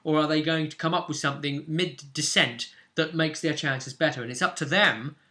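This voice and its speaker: Bright, forceful British man as game master. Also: fairly high pitch, moderate pace. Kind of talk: casual recap of a tabletop role-play session.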